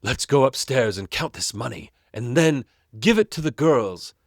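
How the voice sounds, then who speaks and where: A man's voice: mid-range voice, lively intonation, normal speed, no echo, clean studio sound. A man voicing a sly male con man, speaking in a conspiratorial way.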